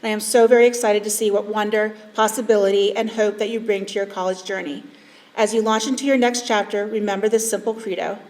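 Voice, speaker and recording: A woman's voice: medium pitch, fairly even intonation, a little fast, darkish tone. A woman teacher and advisor giving a formal, earnest tribute speech with some hesitant fillers. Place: school event hall. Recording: voice from a distance in a hall.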